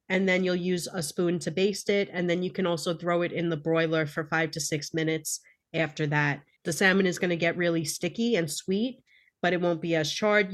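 Lower-pitched woman co-hosting a podcast. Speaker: podcast co-host. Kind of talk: casual podcast chat with practical tips.

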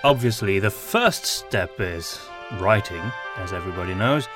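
A man whose first language is Flemish, hosting a podcast and talking about his writing with many pauses and ums. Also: low voice, lively intonation, a bit bright, faint music behind. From Flanders. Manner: hesitant, many ums and restarts. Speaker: podcast host and audio drama writer. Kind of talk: casual monologue, then reading his own article.

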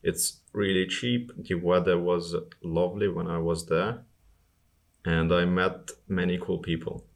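Very deep-voiced man, casual interview guest. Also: fairly even intonation, unhurried. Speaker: interview guest, bike-touring traveller.

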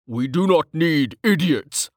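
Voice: measured pace, no room sound, close to an everyday voice. A stern senior male fire mage, voiced by a narrator, speaks with controlled authority and derisive bite. His scolding is firm and clipped, in a dry, close fantasy audiobook sound. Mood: cold, snapping. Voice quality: deep. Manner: calm authority turning to snapping contempt.

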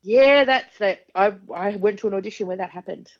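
A woman in her forties with a deep speaking voice, a singer talking frankly and with quick humour as a podcast guest. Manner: frank, chatty, quick-witted, laughs often. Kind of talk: casual interview chat. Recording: dull lo-fi podcast audio.

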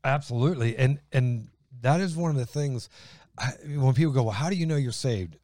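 A middle-aged man with a booming voice, chatting enthusiastically about faith and reading Bible passages aloud. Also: a little fast, mellow, dry recording, clean studio sound. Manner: booming, enthusiastic, acts out quotes.

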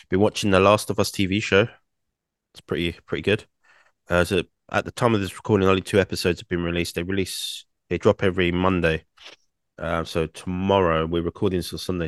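A young man, co-hosting a casual podcast, rambling with many fillers and restarts, his throat croaky from singing. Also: low-pitched, no echo, studio quality. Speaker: podcast co-host.

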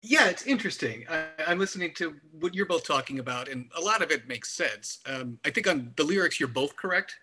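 A man's voice: on the high side, animated, fairly quick, few pauses, pushed and bright, breathy edge, faint music behind. A man talking casually through an album discussion, explaining his views in wordy, analytical detail.

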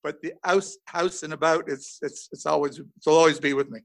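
A man's voice: fairly high pitch, fairly even intonation, normal speed, vocal fry. An older man with a Canadian accent, chatting with amusement as a guest.

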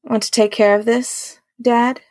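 A woman's voice: on the high side, little pitch movement, unhurried, darkish tone, voice pushed up, lightly acted. A woman voicing a plucky young woman, the daughter of a senator, in a dramatic story. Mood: cocky.

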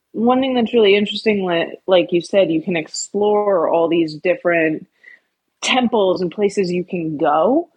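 A woman's voice: fairly low pitch, slightly rough, no echo, highs cut off. A woman co-hosting a podcast, talking casually and thinking aloud, often qualifying her points. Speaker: podcast co-host.

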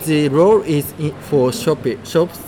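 A man with a Japanese accent, whose first language is Japanese, answers interview questions in halting, simple English with many pauses, recorded at a busy event venue. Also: on the high side, background noise. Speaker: guest, tournament judge. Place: event venue with background noise.

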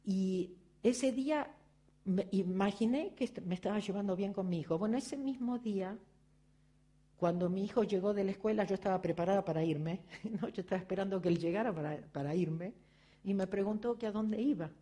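A woman's voice: medium pitch, a little fast, warm tone. A middle-aged woman with an Argentine accent giving a reflective, personal motivational talk, often turning to the audience with rhetorical questions. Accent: Argentine Spanish. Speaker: spiritual speaker.

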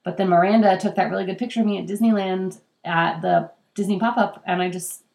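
A young adult woman, a daughter, co-hosting a podcast in relaxed casual chat while a little congested. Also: medium pitch, brisk, creaky. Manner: relaxed, easygoing, fillers like "like" and "yeah". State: congested, under the weather. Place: same room as co-host, home recording.

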